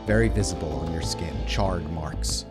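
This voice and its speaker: A man's voice: low voice, moderate pace, over loud music. A man acting as game master, narrating a tabletop roleplaying game.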